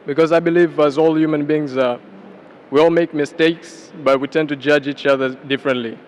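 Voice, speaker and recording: A man's voice: fairly high pitch, fairly even intonation, a little fast, a little hiss or hum. A man politely putting a question as an audience member at a public lecture. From Tanzania.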